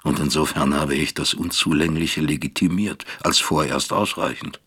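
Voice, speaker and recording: An actor playing a guilt-ridden middle-aged father in an introspective radio drama, gravelly and husky, brooding and questioning himself in halting phrases. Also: a bass voice, fairly even intonation, bright, forceful tone, heavily acted. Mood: self-accusing.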